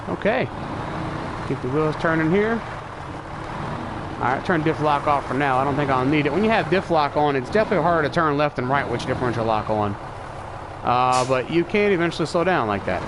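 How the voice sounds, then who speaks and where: A man with a stuffy nose from allergies, thinking aloud in casual live commentary as he streams a truck-driving game. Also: mid-range voice. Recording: clear game engine and truck noise behind voice. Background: truck engine and vehicle sounds from the game.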